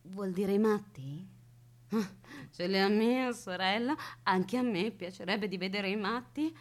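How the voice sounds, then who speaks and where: A woman's voice: medium pitch, measured pace, lightly acted. An actress playing an eccentric, reclusive woman who keeps a man chained in her house, teasing and whimsical in a radio play. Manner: whimsical, teasing, matter-of-fact about absurd things. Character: eccentric reclusive woman jailer, gleefully odd. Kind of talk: radio theatre acting.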